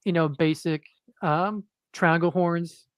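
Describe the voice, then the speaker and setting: Hesitant monotone man, dry sound. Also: on the high side, slow pace, smooth, dry recording. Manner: hesitant, many fillers and restarts. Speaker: guest comic book creator. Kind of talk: casual interview chat.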